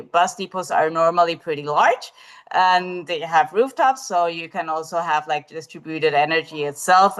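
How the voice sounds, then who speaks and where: A woman's voice: sits low, a bit bright. A woman presenting in a live webinar over a video call, talking with many fillers and restarts as she works through her answer.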